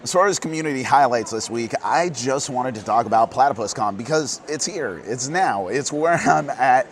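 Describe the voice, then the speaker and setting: Hoarse man, casual news host, earnest and quick, convention hall background. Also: mid-range voice, animated, a little hiss or hum. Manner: earnest, quick, gets fired up. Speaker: board game news host.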